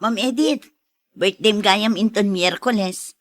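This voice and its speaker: A woman's voice: medium pitch, unhurried, lightly acted. A woman with a Filipino Ilocano accent playing a cheerful, teasing teacher colleague in a radio serial. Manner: cheery, chatty. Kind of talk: radio serial drama acting.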